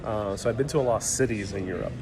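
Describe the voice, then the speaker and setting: A man's voice: fairly low pitch, fast talker. A man from New York City hosting a casual live travel Q&A, reading viewer comments aloud and answering in an easygoing, joking way. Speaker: travel livestream host. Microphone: phone livestream mic. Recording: crowd noise around a phone stream. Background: crowd chatter.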